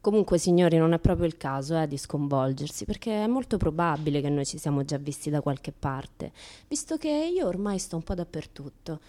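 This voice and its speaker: A woman's voice: sits low, lively intonation, brisk, dark tone. An actress playing a brash, unashamed, chatty woman with a Roman accent in a comic radio monologue, talking in a colloquial, teasing way. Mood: breezy, showy. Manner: colloquial, teasing, mimics other people in dialogue. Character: brash, chatty naked woman who turns up everywhere, unashamed.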